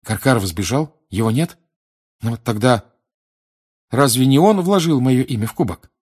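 A man plays a frightened teenage wizard boy in an audiobook. The voice is pushed high and loud above the reader's own, urgent and halting, with agitated exclamations. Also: animated, slightly rough. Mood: disbelieving. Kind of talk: audiobook character acting.

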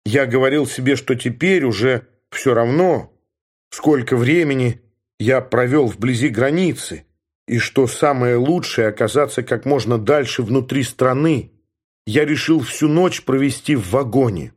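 A man giving a steady, measured first-person narration from a novel, in the manner of an audiobook reader. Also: medium pitch, breathy edge.

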